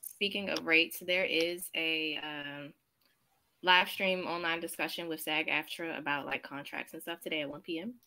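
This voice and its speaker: A woman hosts a relaxed interview, her voice bright and forward while the pitch stays on the low side. She asks her questions chattily, leaning on "um" as she goes. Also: normal speed, a little hiss or hum. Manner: chatty and upbeat, with fillers like "um". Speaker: interview host.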